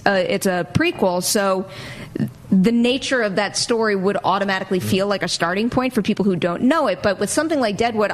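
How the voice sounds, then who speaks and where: Woman hosting a movie talk show. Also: medium pitch. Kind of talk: casual panel discussion.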